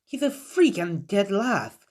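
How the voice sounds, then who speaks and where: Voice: medium pitch, big pitch swings, slow, a little mellow, voice pushed up. A narrator voicing a haughty, scornful teenage girl ninja in a sneering tone in a fan-made story. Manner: sneering, dismissive.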